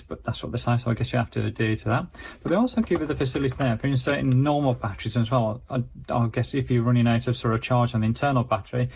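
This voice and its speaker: A man's voice: mid-range voice, gravelly, slight background noise. A man giving a casual, chatty product review, explaining features as he demonstrates them.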